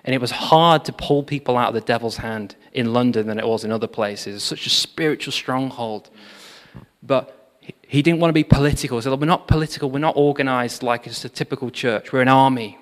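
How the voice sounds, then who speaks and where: A man giving a sermon, telling revival history in an animated storytelling manner with frequent fillers. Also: mid-range voice, brisk. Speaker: preacher. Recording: long hall reverb.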